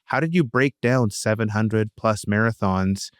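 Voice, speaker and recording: A man's voice: lowish voice, unhurried, clean tone, no room sound, studio quality. A man hosting a podcast, asking questions in a casual, conversational way. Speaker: podcast host, interviewer.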